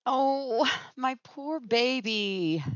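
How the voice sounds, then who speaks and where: An actress playing a patient, gently teasing middle-aged wife and mother in an old radio family comedy. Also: high-pitched, animated, extremely slow, exaggerated voice. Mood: concerned, soothing. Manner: soothing, drily teasing. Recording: dull, roomy old radio recording.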